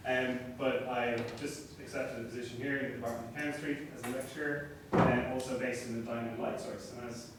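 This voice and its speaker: A young Irish man giving an academic talk as a lecturer, relaxed and self-deprecating, with frequent fillers. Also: medium pitch, a little fast, few pauses. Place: seminar room. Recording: echoey room from far off, noisy.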